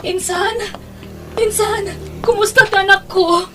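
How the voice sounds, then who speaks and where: A woman's voice: very high voice, steady tone, a little slow, noisy background. A woman playing a worried, protective mother in an Ilocano audio drama, emotional and pleading. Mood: anguished. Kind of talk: Ilocano radio drama acting.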